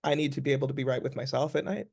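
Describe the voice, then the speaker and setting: A man's voice: medium pitch, steady tone, very fast, gravelly, a little mellow, breathy edge. An American man, an actor being interviewed, talking reflectively and restating his points with emphasis. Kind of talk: conversational interview.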